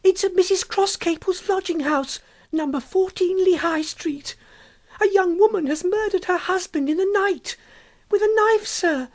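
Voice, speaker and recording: A man's voice: very high-pitched, measured pace, voice pushed up. A man voicing a frantic, terrified young woman in an audiobook story, breathless, urgent and pleading. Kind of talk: dramatic audiobook character voice.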